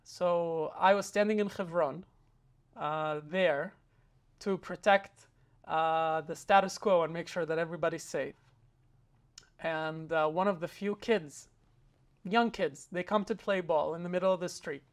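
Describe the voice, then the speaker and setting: A man's voice: a little high, steady tone, unhurried. A young man from Israel, an interview guest telling a personal story in an earnest way, with frequent hesitant fillers and restarts.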